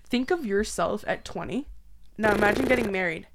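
A woman's voice: mid-range voice, a little fast, creaky, noisy background. A young Canadian woman hosting a podcast. She talks in a bubbly, outgoing way, casually swapping stories and banter, with lots of "like" in her sentences. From Ontario, Canada. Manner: bubbly, loud, uses "like" heavily. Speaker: podcast host. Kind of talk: casual bantering chat and storytelling.

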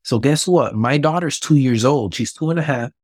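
A man in his thirties from Miami speaks as an interview guest, his voice mid-range and recorded close with a dry sound. He talks candidly and plainly, building his points from personal examples. The tone is relaxed and conversational. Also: mid-range voice, normal speed, slightly rough, dry recording. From Miami, USA. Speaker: guest, businessman and ex-boxer.

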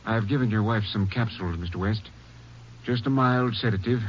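An actor playing a calm, clinical, reassuring psychiatrist in a 1950s radio drama. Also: male voice, on the low side, moderate pace, natural voice. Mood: calm, professional. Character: composed, reassuring male psychiatrist. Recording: muffled old radio recording, faint noise.

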